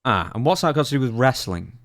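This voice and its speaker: A man co-hosting a casual wrestling talk podcast in an animated, exasperated, opinionated way. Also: medium pitch, fast talker. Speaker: podcast co-host.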